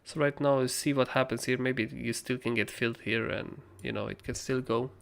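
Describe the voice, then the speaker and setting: A young man with a hoarse, raspy voice, casually talking through trading charts as a livestream host, rambling and swearing freely. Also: medium pitch, steady tone, brisk. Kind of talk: casual live chart analysis.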